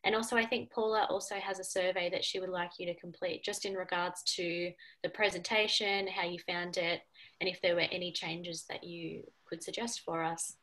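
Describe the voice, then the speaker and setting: A woman in her twenties giving a scripted presentation in a measured, explanatory way over a video call. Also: fairly low pitch, level tone, fairly bright tone, rough recording. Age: 20s. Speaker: student presenter. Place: small furnished room at home, indoors. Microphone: video call on a computer.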